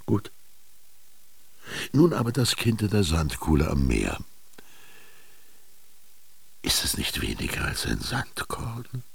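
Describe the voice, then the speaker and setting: Brooding, commanding master for radio drama: very low, slow, husky, declamatory. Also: male voice, wildly swooping pitch, dry recording, heavily acted. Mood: brooding, intense. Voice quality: gravelly, husky. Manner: declamatory, halting, with whispered emphasis. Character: commanding, brooding male master dictating his bitter text to a scribe. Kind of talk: German literary radio drama monologue.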